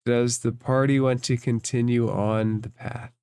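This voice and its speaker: A man narrates a tabletop fantasy game as its game master. His voice is smooth and clear, and he takes his time with formal phrasing. The sound is dry, with little room in it. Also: mid-range voice, fairly even intonation, unhurried, darkish tone, no echo.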